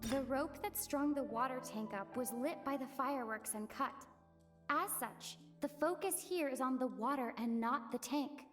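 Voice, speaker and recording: Woman voicing composed high-voiced young investigator. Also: soft background music, close to an everyday voice. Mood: thoughtful, analytical. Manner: measured, analytical. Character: composed young investigator reasoning through a case. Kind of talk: video game voice acting.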